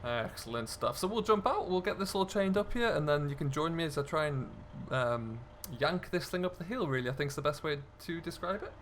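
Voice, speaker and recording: A man's voice: on the high side, lively intonation, brisk, few pauses, a bit bright. Chatty man commentating over vehicle noise. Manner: chatty, talks himself through the driving. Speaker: gaming video host. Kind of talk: casual role-play gameplay commentary.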